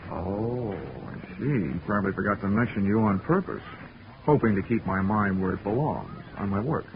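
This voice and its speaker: Wry hard-boiled radio detective, muffled recording. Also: male voice, fairly low pitch, moderate pace, background noise. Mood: flirtatious, wry. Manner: wry, deadpan. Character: wisecracking hard-boiled male insurance investigator. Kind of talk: 1940s radio acting, noir narration. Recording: dull old radio recording.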